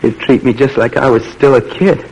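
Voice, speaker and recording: Husky, folksy young soldier. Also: male voice, medium pitch, steady tone, normal speed, a little mellow, poor audio. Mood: weary, wistful. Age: young adult. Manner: folksy, polite. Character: polite, easygoing young private, a devoted son. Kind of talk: old-time radio drama acting.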